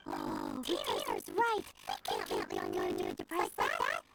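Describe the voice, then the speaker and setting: A woman playing a tiny, perky, blunt childlike companion in a video game, with a squeaky little-girl voice. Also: wildly swooping pitch, a little slow, vocal fry, full character voice. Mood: concerned, determined. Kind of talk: scripted video game dialogue. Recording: noisy, distorted, stuttering game audio.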